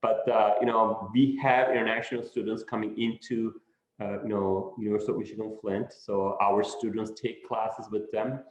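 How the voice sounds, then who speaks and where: Man from Turkey, middle-aged, professor, unhurried, "you know" fillers, low voice, dull lo-fi sound. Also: fairly even intonation. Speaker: professor of international business. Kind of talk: relaxed interview answers. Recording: echoey room, dull lo-fi sound.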